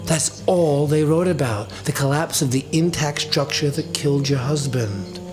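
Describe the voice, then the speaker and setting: A man's voice: fairly high pitch, moderate pace, music in the background, voice pushed up, lightly acted. A man voicing a moody eighteen-year-old son who is sarcastic, righteous and insistent, in a dramatic audiobook. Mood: taunting, angry. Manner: mocking, insistent.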